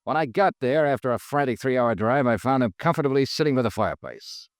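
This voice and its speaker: An actor playing a brisk, dogged male insurance investigator who narrates his case in a 1950s radio detective drama. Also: medium pitch, lively intonation, dry recording, natural voice. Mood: clipped, matter-of-fact. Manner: brisk, direct, pressing. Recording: 1950s radio recording, dry studio sound.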